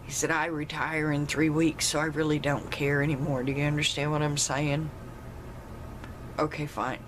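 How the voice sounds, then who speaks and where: A woman with a loud, carrying voice, telling a personal story emphatically. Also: little pitch movement, normal speed, noisy background. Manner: emphatic, rises in pitch when retelling her protest.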